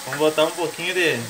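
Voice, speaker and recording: A man with a southern Brazilian accent presents a home recipe in a casual, joking way. He explains things in colloquial speech. Frying and stirring sizzle clearly behind him in the kitchen. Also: a little high, background noise. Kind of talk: casual cooking chat.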